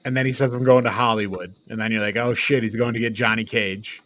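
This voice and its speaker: A man's voice: lowish voice, steady tone, fast talker, fairly bright tone. A man co-hosting a casual, informal review discussion, recapping things in an offhand way. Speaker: podcast co-host reviewing films.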